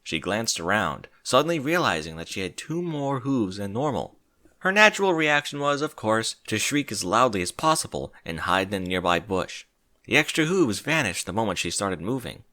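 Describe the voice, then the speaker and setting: A man's voice: lowish voice, very animated, moderate pace, pushed and bright. A man narrating a scripted story expressively, as a reader of fan fiction.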